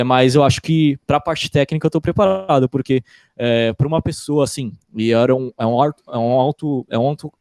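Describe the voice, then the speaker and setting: A young man from Brazil, a guest engineer in a casual interview, talking in a relaxed way with many restarts. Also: mid-range voice, clear, resonant tone, no echo, studio quality. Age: young adult.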